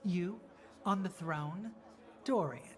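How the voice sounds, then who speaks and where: A woman playing a principled, firm political leader of a rebel faction in a video game, measured and earnest. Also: lowish voice, darkish tone. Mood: skeptical. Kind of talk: video game drama acting.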